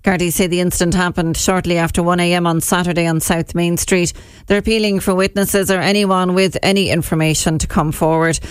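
Smooth steady Irish newsreader woman. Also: on the low side, fairly quick, no room sound, studio quality. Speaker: radio newsreader. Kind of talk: read news bulletin.

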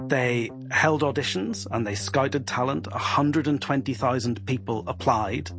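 A man, a guest reporter, explaining at length in a steady, informed way on a podcast. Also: mid-range voice, a little slow, music in the background.

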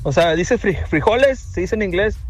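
A man's voice: high-pitched, lively intonation, moderate pace, music in the background. A man in a listener's voice message, joking and chuckling as he explains a gag.

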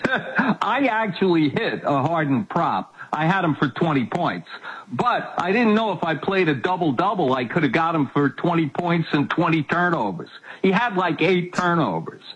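A man's voice: fairly high pitch, big pitch swings, normal speed, a bit bright, breathy edge. A man of seventy, a guest on a sports betting show, talking casually with wry, self-mocking humor. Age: 70s. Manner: wry, self-mocking, laughs at his own jokes. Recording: muffled call-in line.

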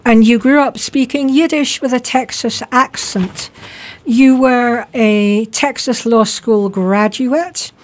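A woman's voice: fairly high pitch, steady tone, slow pace, slight background noise. A woman hosting a radio interview in a studio, talking in a conversational way with some filler words. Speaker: radio show host.

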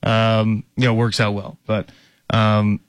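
A man co-hosting a casual sports talk show, reading viewer comments aloud in a relaxed, easygoing way. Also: fairly low pitch, slow, no echo. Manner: relaxed, easygoing, reads chat questions aloud.

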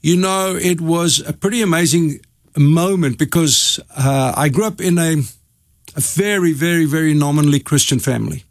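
A man's voice: on the high side, moderate pace, dry recording, clean studio sound. A man in his sixties from South Africa with an Afrikaans accent, a native Afrikaans speaker, telling his story as an interview guest.

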